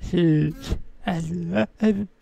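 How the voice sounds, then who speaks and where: Slow, very creaky streamer voice. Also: medium pitch, mellow, no echo. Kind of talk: casual gameplay livestream commentary.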